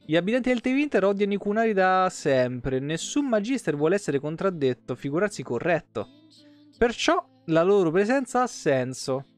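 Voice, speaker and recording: A man's voice: high-pitched, moderate pace. A man, reading game lore aloud expressively with casual asides as a streamer. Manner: expressive reading, breaking off for informal comments. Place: small indoor room, streaming setup. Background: plucked guitar music.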